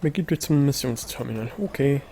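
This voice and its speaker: A man's voice: medium pitch, lively intonation, fairly quick, vocal fry, dark tone. A man giving casual, laid-back commentary as he plays a video game, muttering dry reactions. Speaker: let's play host. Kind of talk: casual gameplay commentary in German.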